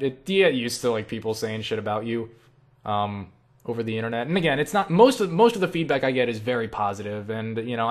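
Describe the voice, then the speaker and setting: A young man chatting casually in a question-and-answer vlog, rambling and self-deprecating, with casual swearing. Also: medium pitch. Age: young adult. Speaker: online video creator answering viewer questions.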